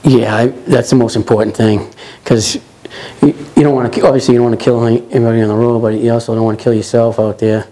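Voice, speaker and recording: A man in his forties with a Boston accent, a truck driver chatting casually in an interview, relaxed and rambling with lots of "you know". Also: medium pitch, quick pace, rough recording. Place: office lounge, indoors.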